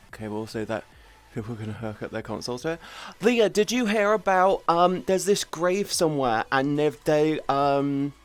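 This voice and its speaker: A woman live streamer chatting casually with viewers in an informal, sweary, rambling way. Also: rumbling low. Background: background music.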